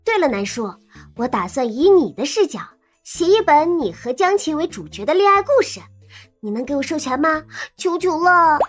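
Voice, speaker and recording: A lively young student played by a woman with a high, swooping voice. She teases and wheedles like a gossip-hungry roommate in an audio drama, over soft music. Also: normal speed. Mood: eager, pleading. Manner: teasing, animated, wheedling. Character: lively, teasing young female college student, romance-obsessed gossip. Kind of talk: Chinese audio drama acting.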